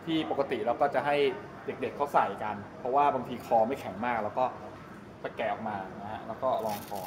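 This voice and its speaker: A man's voice: medium pitch, a little fast. A middle-aged man, chatting casually and explaining things to a camera as he gives a walk-through tour. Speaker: vlogger giving a tour. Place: large open-sided go-kart pit hall. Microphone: 360 camera at arm's length. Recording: roomy echo, loud ambient noise.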